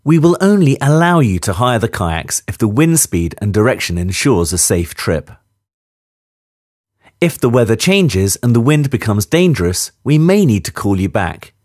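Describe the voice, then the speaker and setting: A man narrating a scripted safety briefing in a clear, measured instructional manner. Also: mid-range voice, big pitch swings, darkish tone, dry recording, studio quality.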